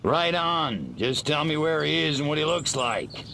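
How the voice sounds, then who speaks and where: An actor voicing a cocky, sarcastic little alien invader in a video game, with a gravelly voice and sardonic drawl. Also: male voice, on the high side, big pitch swings, unhurried, noisy background, exaggerated voice. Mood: dry, impatient. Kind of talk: video game cutscene acting. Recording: music under dialogue.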